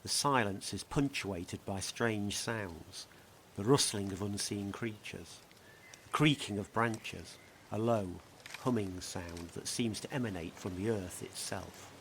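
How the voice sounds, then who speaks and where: A man narrating a scripted true-crime mystery in a measured, dramatic, portentous manner. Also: on the low side, a bit bright, slight background noise. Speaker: narrator, host.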